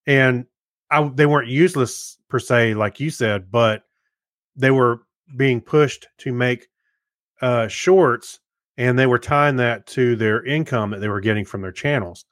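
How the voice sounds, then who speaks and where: A man co-hosting a podcast in casual chat, speaking informally and haltingly as he corrects himself. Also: mid-range voice, fairly bright tone, dry recording, clean studio sound. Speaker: podcast co-host.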